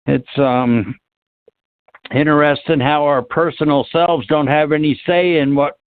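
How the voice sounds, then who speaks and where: A man's voice: fairly high pitch, steady tone, measured pace, dry recording. A man giving his thoughts in an unscripted discussion, hesitant and searching for words. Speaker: discussion panelist. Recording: dry, phone-like narrow-band audio.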